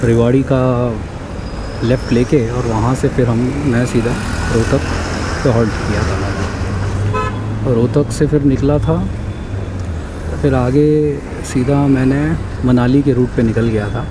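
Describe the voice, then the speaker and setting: A man with a hoarse, sore throat, chatting casually in a rambling way as he recalls a long motorcycle trip. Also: mid-range voice, fairly even intonation, slow. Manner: chatty, rambling recollection with fillers. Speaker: motovlogger. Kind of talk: casual vlog talk while riding. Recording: loud road and traffic noise.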